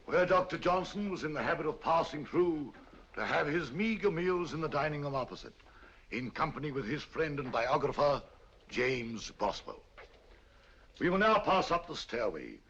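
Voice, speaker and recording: An actor playing a pompous, chatty museum tour guide in 1940s film style, theatrical and sing-song. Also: male voice, on the high side, fairly bright tone. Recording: dull lo-fi 1940s film soundtrack with faint room noise.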